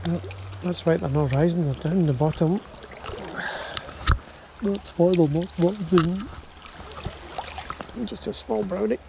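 Slow, creaky-voiced man fishing outdoors. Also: sits high. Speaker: angler and vlogger. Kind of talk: casual first-person fishing commentary. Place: outdoors, wading in a small river. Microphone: body-worn action camera. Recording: outdoor action camera, constant water noise. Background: running river water.

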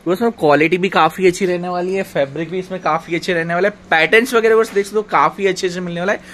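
A man's voice: high-pitched, fairly even intonation, quick pace, fairly bright tone. A man vlogging inside a wholesale clothing shop, repeating upbeat praise of the stock in casual promotional chatter. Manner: repetitive enthusiastic praise. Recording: noisy shop floor.

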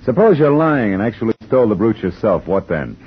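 Hard-boiled detective, very low voice, dry wisecracks, muffled old radio. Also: male voice, big pitch swings, brisk, husky, full character voice. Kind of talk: 1950s radio drama acting and first-person narration. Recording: muffled 1950 radio broadcast with faint hiss.

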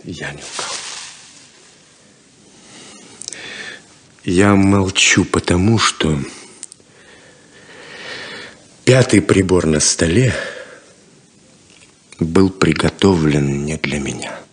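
A low-voiced, commanding romantic charmer. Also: male voice, takes it slowly, slightly rough, exaggerated voice. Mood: subdued. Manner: insistent, intense, imperative. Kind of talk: 1970s Soviet radio play acting.

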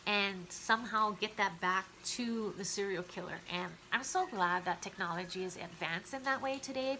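A woman talks about a true crime case straight into her camera at home. Her voice is bright and a little stuffy from congestion. A faint hum of the room sits behind her. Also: medium pitch, rough recording. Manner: chatty, opinionated, talks straight to viewers. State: stuffy nose. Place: living room at home, indoors. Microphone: camera close in front of her.

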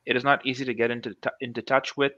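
A man's voice: medium pitch, level tone, very fast, bright, forceful tone, dry recording, dull audio. A man in his thirties, a guest researcher on a video call interview, speaking thoughtfully with many restarts and fillers. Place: small room at home. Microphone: headset.

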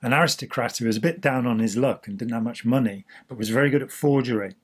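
A man explains history in a relaxed chat. His voice is husky and a little hoarse, at a middle pitch. He is recorded by a fixed camera in a small indoor room. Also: fast. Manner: gestures freely while explaining. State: slightly hoarse. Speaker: host.

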